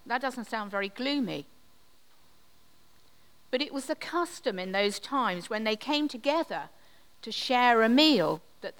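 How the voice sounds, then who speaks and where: Slow gentle woman preaching in a reverberant hall. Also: fairly high pitch, clean tone. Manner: slow, gentle, reflective delivery with pauses. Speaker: preacher leading communion. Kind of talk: sermon.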